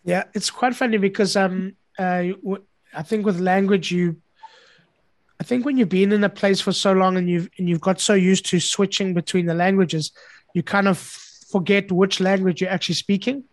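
A man's voice: high voice, fairly even intonation, normal speed, no room sound. A man from South Africa hosting an interview, chatting casually with frequent stammered restarts. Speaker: podcast host.

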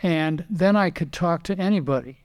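An older man and retired racing driver, a podcast guest telling animated anecdotes, quoting others and often repeating words. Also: a little high, moderate pace. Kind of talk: casual storytelling interview.

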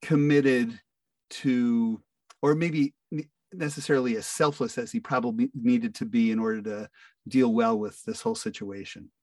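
A man's voice: medium pitch, fairly even intonation, normal speed, a little mellow, dry recording, distant mic. An older man with an American accent, chatting casually as an interview guest in a hesitant, self-deprecating way. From northeastern United States. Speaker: interview guest, amateur-turned voice actor.

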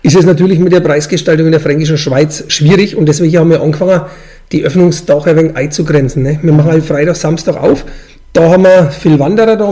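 Fast-talking Franconian man, mellow. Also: on the high side. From Franconian Switzerland, Bavaria, Germany. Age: 40s. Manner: colloquial dialect speech. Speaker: brewmaster and brewery owner, interviewee. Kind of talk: casual interview, explaining.

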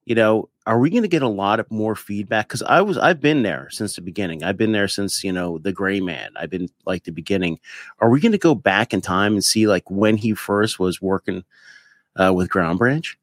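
A man's voice: on the low side, moderate pace, no room sound, studio quality. A man hosting a podcast in a casual, informal and enthusiastic chatting style. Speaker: podcast host. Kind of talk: casual interview chat.

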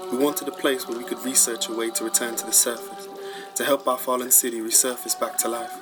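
Man acting a grave, measured scientist on tape. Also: mid-range voice, lightly acted. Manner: measured, ominous, lecturing. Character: grave male scientist professor leaving recorded survival instructions. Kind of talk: sci-fi radio drama acting.

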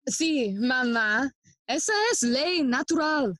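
Brooding young heir to a throne: fairly high, very slow, grief-laden Shakespearean delivery. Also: female voice, a bit bright, no room sound. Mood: bitter, restrained. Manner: slow, deliberate, heavy with grief. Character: grieving, brooding young royal heir, bitter and restrained. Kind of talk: bilingual Shakespearean audio play acting.

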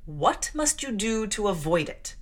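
A woman voicing a tall, stern clergyman who questions a child slowly and gravely. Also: mid-range voice, sing-song. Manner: slow, loud, grave questioning. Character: stern solemn man, tall clergyman, bass voice.

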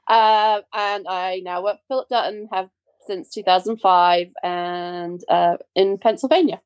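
A woman's voice: mid-range voice, unhurried, clear, resonant tone, highs cut off. A woman from England, chatting with giggles.

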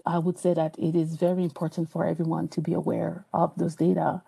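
A woman's voice: sits low, monotone, fairly quick, creaky, dark tone, slightly rough. A woman from France with a French accent, a podcast guest speaking earnestly and reflectively, with frequent hesitations and restarts. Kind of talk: personal interview talk.

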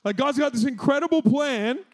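A male pastor with a notably high-pitched voice preaches to a congregation. He has a loud voice and a conversational, energetic delivery full of playful asides. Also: normal speed. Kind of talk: sermon.

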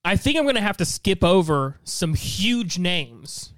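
A man co-hosting a casual panel chat, thinking aloud as he makes his picks, often halting and repeating himself. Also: high-pitched, very animated, fairly bright tone, no echo, studio quality. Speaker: podcast panelist and co-host.

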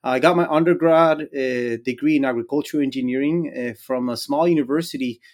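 A man's voice: medium pitch, brisk, a little mellow. A middle-aged man from Guatemala with a Guatemalan Spanish accent, answering interview questions conversationally as an academic, in stop-and-start phrasing. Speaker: interview guest, academic engineer and extension specialist.

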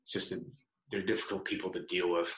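A man's voice: sits low, level tone, fast, fairly bright tone. A man guesting on a podcast interview, talking casually with plenty of fillers and swearing. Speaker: guest, business founder. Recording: roomy, echoey sound.

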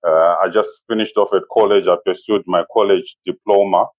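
A man in his forties with a Zimbabwean accent, speaking as an interview guest in a measured, halting way and often saying "you know". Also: sits low, steady tone, no echo, lo-fi sound. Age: 40s. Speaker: interview guest, safari business owner. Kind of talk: conversational interview, personal recollection.

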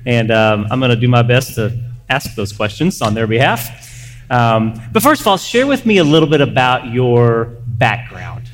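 A man hosting a casual live interview on a church stage, speaking informally and haltingly, often repeating phrases. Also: medium pitch, lively intonation. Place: large church room, on stage. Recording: echoey hall, clear background noise.

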